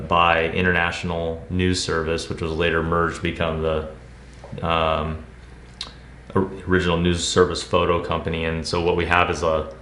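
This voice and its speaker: American male presenter, low and even-toned, casual unscripted showcase delivery, recorded in an echoey room. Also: slight background noise. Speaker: presenter of collectibles showcase videos. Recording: roomy, echoing indoor sound.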